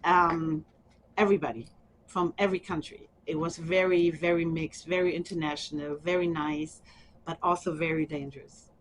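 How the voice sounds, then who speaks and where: A middle-aged woman from Germany with a German accent, speaking softly and haltingly with small laughs in an oral history interview. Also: low-pitched, steady tone, a little hiss or hum. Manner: soft, halting, with frequent ums and small laughs.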